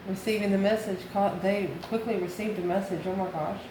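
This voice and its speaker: A woman's voice: mid-range voice, steady tone, a little fast. A woman from the United States giving a casual, off-the-cuff tarot reading, musing aloud and answering her own questions. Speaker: tarot card reader. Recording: echoey room, clear background noise.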